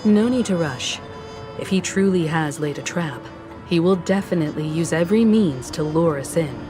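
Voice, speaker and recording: A woman's voice: low voice, normal speed, lightly acted. A woman voicing a poised, confident and thoughtful female leader in a video game, composed and eloquent. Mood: knowing calm. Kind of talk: scripted video game dialogue. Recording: music behind the voice.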